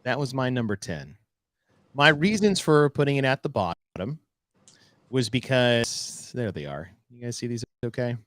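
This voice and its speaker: A man's voice: mid-range voice, lively intonation, unhurried, a bit bright, no echo. A man co-hosting a casual podcast, teasing his partner and laughing often as he talks. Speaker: podcast co-host.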